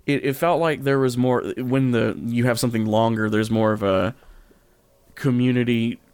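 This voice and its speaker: A man's voice: medium pitch, steady tone, moderate pace, a little mellow, clear, resonant tone, no room sound. An American man hosting a casual podcast chat in a wry, joking way. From US Midwest. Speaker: podcast host.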